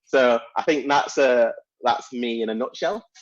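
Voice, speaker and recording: A man's voice: medium pitch, a little slow, dull audio. A man enthusiastically describing his education company on a webinar panel, with many fillers and restarts. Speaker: STEM education company founder. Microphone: video call.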